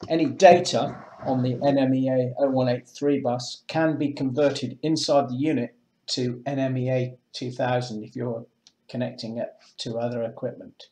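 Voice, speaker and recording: A man presenting marine electronics in a measured, matter-of-fact way, as in a semi-scripted product demonstration. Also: mid-range voice, steady tone, warm tone, low-quality recording. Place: indoors, small room with block walls at a table.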